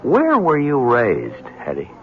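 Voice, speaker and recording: Lively-pitched sceptical young newspaperman. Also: takes it slowly. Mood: coaxing, awkward. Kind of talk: 1970s radio drama acting. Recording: dull, hissy 1970s radio broadcast.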